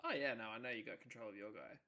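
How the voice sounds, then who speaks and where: A man narrating as game master of a tabletop role-playing game, casual and jokey, with a big booming voice. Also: rapid-fire, pushed and bright.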